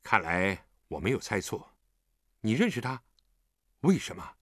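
一名男子在有声故事中扮演一个和善、护着孩子又不失坚定的成年男子，语气循循善诱。. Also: male voice, mid-range voice, big pitch swings, normal speed, a bit bright, no echo, clean studio sound. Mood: stern. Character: kind, protective grown man, teasing yet firm.

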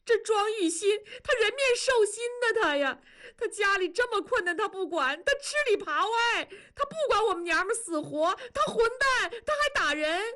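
A loud, aggrieved working-class wife cries out her complaints in a tearful, breathless wail, as a woman narrator puts her on. The voice climbs very high and swings wildly between words. It is an audiobook radio drama. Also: lively intonation, normal speed, exaggerated voice. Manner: wailing, breathless scolding. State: crying.

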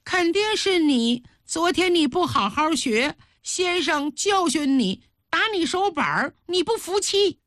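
A woman's voice: very high voice, lively intonation, measured pace, hardly any pauses, fairly bright tone, no echo, studio quality, voice pushed up, heavily acted. A woman storyteller voicing an outspoken young schoolchild who insistently tells on someone. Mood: accusing. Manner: insistent, tattling.

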